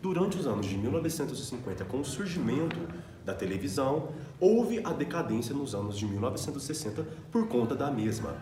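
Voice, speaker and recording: A man's voice: mid-range voice, sing-song, a little fast, few pauses, mellow. A man with a Brazilian Portuguese accent presenting a student radio program as co-host, in a semi-scripted style. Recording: roomy echo, faint background noise.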